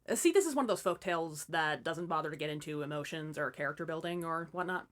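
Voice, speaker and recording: A woman's voice: deep voice, lively intonation, fast. A woman hosting and narrating fairy tales as scripted comedy, with wry, irreverent asides. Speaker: host and storyteller.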